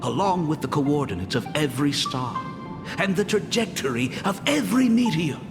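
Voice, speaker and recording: An actor playing a jovial, theatrical old father telling tales in a video game, with teasing, drawn-out delivery. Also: fairly high pitch, sing-song, creaky. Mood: theatrical storytelling. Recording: long hall reverb, music behind.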